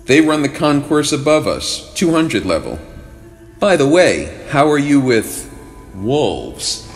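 A man's voice: mid-range voice, very animated, slow pace, faint music behind. A man playing a sardonic, slightly pompous headless ghost commander from the Revolutionary War in a comic audio drama. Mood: matter-of-fact.

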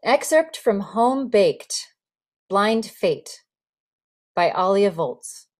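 A woman in her forties, an actor, giving an expressive literary reading on a video call. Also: mid-range voice, extremely slow. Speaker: actor reading a memoir excerpt. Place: room with folding screen, indoors. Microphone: video call computer microphone.

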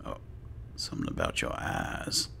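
A man's voice: a little slow, bright, forceful tone, slight background noise. A man doing in-character roleplay commentary over a video game, often muttering dryly to himself. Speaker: gamer roleplaying as an alchemist.

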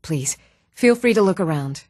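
Even-toned townswoman, very dry studio sound. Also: on the low side, no room sound. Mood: polite, welcoming. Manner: even-toned, measured, clearly enunciated. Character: even-toned adult townswoman of a fantasy realm. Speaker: video game voice actor. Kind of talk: scripted fantasy role-playing game dialogue. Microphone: close, dry studio microphone.

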